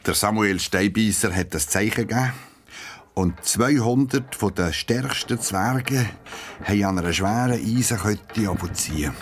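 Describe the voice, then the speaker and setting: Low-voiced Swiss German male storyteller. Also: moderate pace. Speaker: narrator. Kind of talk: storytelling narration for children's radio play.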